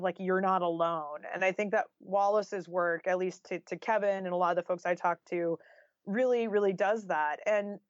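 A woman's voice: lowish voice, no echo. A woman speaks as an author being interviewed, casual yet thoughtful. Her talk is conversational and sprinkled with filler phrases like "you know". The recording is dry and somewhat dull. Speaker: interview guest, nonfiction author.

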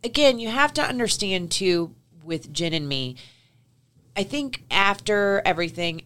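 A woman hosting a podcast, offering brief affirmations and quiet, sincere remarks to her guest. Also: mid-range voice, expressive, a little slow. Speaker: podcast host. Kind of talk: casual conversation.

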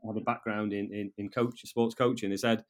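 Halting North Welsh man in his fifties. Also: lowish voice, flat delivery. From North Wales. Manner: halting, with many repeated words and restarts. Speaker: guest. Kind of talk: casual interview conversation.

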